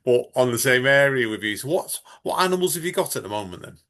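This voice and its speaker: A man's voice: mid-range voice, lively intonation, quick pace, a bit bright. Chatty man hosting a podcast. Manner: chatty, rambling, often laughing. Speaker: podcast host. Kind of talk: casual interview chat.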